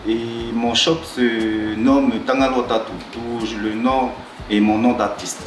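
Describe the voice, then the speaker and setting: A tattoo artist from Tahiti, talking about his work in a halting way, with many restarts and fillers. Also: mid-range voice, level tone, roomy sound, over music. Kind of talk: talking-head interview.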